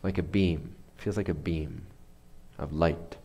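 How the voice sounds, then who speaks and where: Deep-voiced man guiding a meditation. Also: steady tone, gravelly, warm tone, husky. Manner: unhurried, searching for words. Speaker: meditation teacher. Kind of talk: guided meditation instruction.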